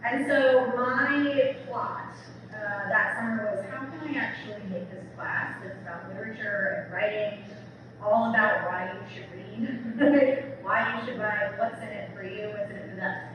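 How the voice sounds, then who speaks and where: A woman's voice: mid-range voice, unhurried. A woman speaking as an English professor giving a public book talk in a conversational manner, often checking in with her listeners. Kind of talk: public lecture, semi-scripted. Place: large reverberant room, recorded from afar. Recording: distant mic, echoey hall, noisy background.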